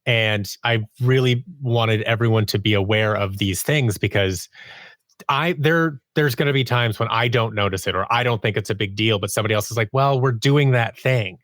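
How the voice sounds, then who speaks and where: Guy on a podcast explaining his creative work, stops and restarts a lot, thinking out loud, dry close sound. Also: on the low side, no echo. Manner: halting, thinking aloud, often restarting phrases and acting out quoted remarks. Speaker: podcast guest, audio drama writer and producer. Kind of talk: casual interview conversation.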